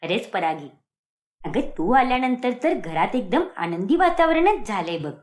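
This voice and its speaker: A woman plays a doting older mother-in-law from a cartoon moral tale. She speaks with animated swings of pitch, affectionate and gently scolding, full of approval for her family. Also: moderate pace, close to an everyday voice. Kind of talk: cartoon moral-story acting.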